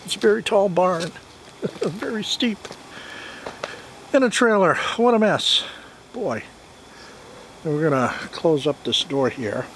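A man in his forties narrates a relaxed, joking tour of a homemade barn, talking now and then to his goats. His voice sits a little high. The handheld camera picks him up outdoors with a faint wash of noise. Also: a little slow, long pauses, poor audio. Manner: chatty, jokey, talks to his goats. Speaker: homesteading vlogger. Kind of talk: casual walk-around vlog. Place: outdoors in a wooded yard by a half-built barn. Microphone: handheld camera mic.

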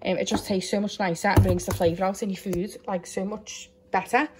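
A woman's voice: medium pitch, fairly even intonation, brisk, noisy background. A young woman from England chatting casually through a grocery haul in her kitchen, rambling and trailing off with little asides. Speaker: vlogger. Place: home kitchen, indoors.

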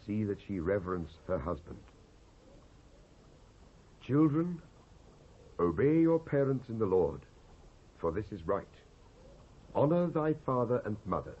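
A British man performs a solemn scripture reading in a husky voice. His delivery is oratorical and deliberate, rising and falling widely for emphasis. The recording is dull and lo-fi, like an old cassette. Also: medium pitch. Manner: measured, emphatic, oratorical phrasing with deliberate pauses. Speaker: Bible reader, actor.

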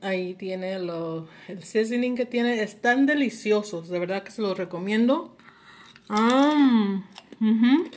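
A woman chats casually through a product review as a vlogger. She takes her time, speaking slowly at an average pitch, and slips English words into her sentences. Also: animated, a little hiss or hum. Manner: mixes English words into Spanish. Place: indoors at a table at home.

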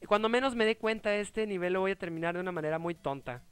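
A man's voice: sits high, fast talker, fairly bright tone, clean tone. A young adult man with a Mexican accent, a playful and dramatic host chatting casually while he plays a video game. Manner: playful, dramatic exclamations and mock voices. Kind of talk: casual gameplay chat. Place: indoor room, seated on a webcam call.

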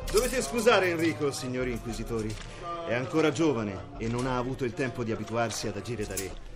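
An actor playing a cynical, chuckling mercenary captain in a radio drama. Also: male voice, mid-range voice, lively intonation, brisk, over loud music, close to an everyday voice. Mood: jovial. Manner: jovial, sly, chuckling. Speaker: mercenary leader.

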